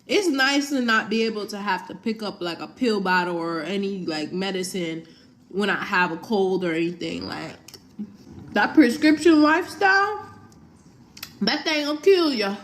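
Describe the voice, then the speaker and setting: A woman talking to her camera in a loose, easygoing chat. She gets lively and peppers her speech with "like". Her pitch sits in an ordinary middle range. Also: normal speed. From United States. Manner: animated, heavy use of "like". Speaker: vlogger. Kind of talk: casual chat.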